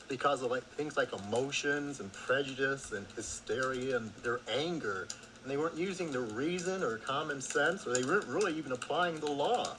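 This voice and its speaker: Earnest man with background hiss. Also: medium pitch. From Ohio, USA. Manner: earnest, indignant and reflective. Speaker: case supporter interviewed in a documentary. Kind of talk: documentary interview.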